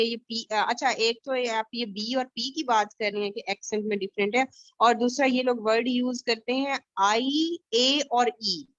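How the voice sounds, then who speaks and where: Pakistani-accent lady teacher on a video call, kinda high voice, dry muffled audio. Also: fairly bright tone, no echo. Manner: explains patiently, many fillers. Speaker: IELTS teacher. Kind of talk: online class teaching. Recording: dull lo-fi online call audio.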